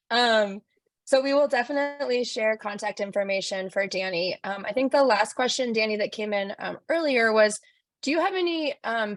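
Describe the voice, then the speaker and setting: A woman's voice: mid-range voice, dry recording. Upbeat woman hosting a video webinar. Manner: upbeat, frequent um fillers. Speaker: webinar facilitator. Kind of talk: online workshop hosting. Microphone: video call.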